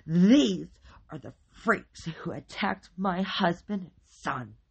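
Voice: mid-range voice, expressive, very slow, gravelly, mellow, husky, pitched above the performer's own voice, exaggerated voice. A storyteller voicing a hysterical, venomous neighbor woman, shrieking and sputtering with indignation in an audiobook-style story.

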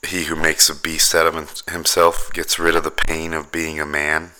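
A man's voice: flat delivery, normal speed, bright, forceful tone, low-quality recording. A man co-hosting a podcast in loose, joking casual chat, swearing freely. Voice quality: deep voice. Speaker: podcast co-host.

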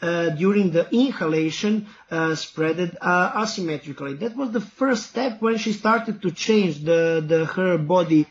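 A man with a Serbian accent, a guest therapy instructor, explaining in a halting way with many fillers. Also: high-pitched, a little hiss or hum. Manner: halting, heavy filler words. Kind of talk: podcast interview. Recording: dull, muffled audio.

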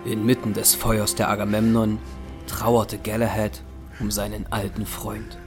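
A male narrator tells a dramatic story in a lowish voice. His scripted delivery plays over clearly present background music. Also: monotone, measured pace.

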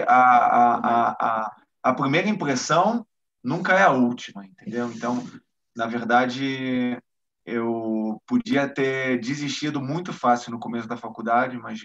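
Hesitant man explaining slowly. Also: mid-range voice, gravelly, a bit bright. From Brazil. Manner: hesitant, with many restarts and fillers. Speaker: guest. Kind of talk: explaining in an interview. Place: lives in Israel. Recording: muffled lo-fi stream audio.

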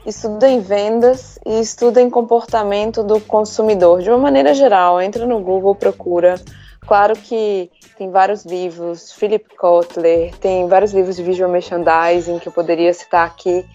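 A woman's voice: medium pitch, steady tone, normal speed, mellow. A woman architect in casual podcast chat, talking in a chatty, informal way. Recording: dull lo-fi sound with faint music.

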